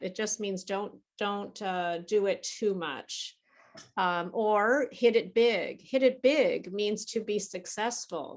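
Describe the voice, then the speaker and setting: A middle-aged woman gives an English pronunciation lesson at an unhurried, deliberate pace. Her voice sits in an ordinary middle range, and she draws out each example word so that its vowel comes through clearly. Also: medium pitch. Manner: patient, over-articulates target vowels for the learner. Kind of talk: slow, deliberate teaching with repeated example words.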